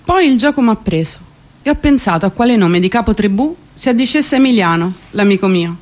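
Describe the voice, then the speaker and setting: A woman's voice: medium pitch, expressive, a little fast, a little mellow. A woman performing a comic theatrical monologue, colloquial, wry and emphatic. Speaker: stage actress and monologist.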